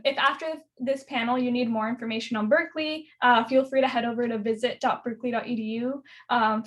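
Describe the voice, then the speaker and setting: A woman's voice: on the high side, fairly bright tone. A young woman moderating a virtual student panel over a video call, upbeat and casual with many fillers.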